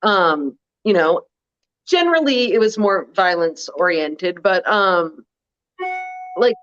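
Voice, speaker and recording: A woman with a deep voice co-hosting a casual chat, wry and blunt, full of "like" and "um". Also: lively intonation, slow, fairly bright tone, muffled recording, soft background music. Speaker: co-host.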